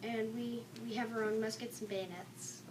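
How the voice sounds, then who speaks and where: A woman's voice: fairly high pitch, fairly even intonation, moderate pace. A young female student giving reasons in a classroom debate. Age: child or young student. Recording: distant mic, clearly noisy room.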